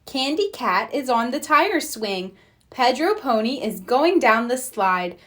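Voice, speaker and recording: Slow, lively woman reading a children's story. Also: on the high side. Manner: expressive, clearly paced for young children. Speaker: read-aloud storyteller. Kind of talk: scripted children's storybook narration.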